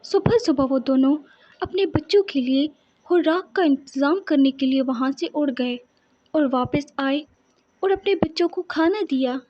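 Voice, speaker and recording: A woman's voice: sits high, a little slow, darkish tone, muffled recording, a little hiss or hum. A woman narrating a scripted children's story as its storyteller.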